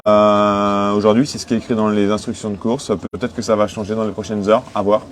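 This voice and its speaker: A young man gives conversational interview answers. He is a skipper speaking aboard his boat, with clear ambient noise behind him. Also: fairly low pitch, level tone, a little fast. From Cholet, western France. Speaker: sailing skipper being interviewed. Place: aboard a sailing boat in port. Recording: noticeable background noise on location.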